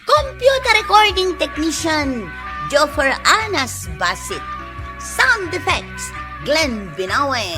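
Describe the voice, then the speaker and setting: Ilocano lady announcing a radio drama, high voice, slow and lilting over music. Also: sing-song. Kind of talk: scripted announcement. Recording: music bed behind the voice.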